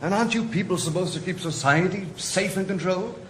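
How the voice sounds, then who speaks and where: A man's voice: sits high, fairly quick, fairly bright tone, roomy sound, slight background noise. A man playing a detached, probing rehab doctor in a radio drama. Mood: clinical. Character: clinical male rehab doctor, cool and pointed.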